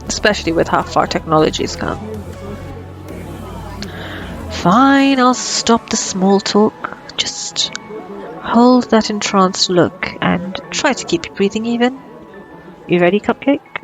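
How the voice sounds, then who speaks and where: A woman's voice: medium pitch, animated, a little slow, faint music behind, rough recording. A woman playing a cocky, flirtatious female vampire in an ASMR roleplay, sardonic and playful. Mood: wry, coaxing. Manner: sardonic, playful, casually swearing. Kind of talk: ASMR roleplay audio drama.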